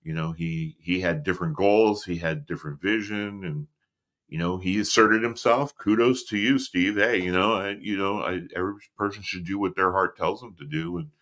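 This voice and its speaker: A middle-aged man with a deep voice, co-hosting a casual podcast chat in an easygoing, rambling way. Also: moderate pace, a bit bright. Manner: easygoing, rambling with fillers and restarts. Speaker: co-host.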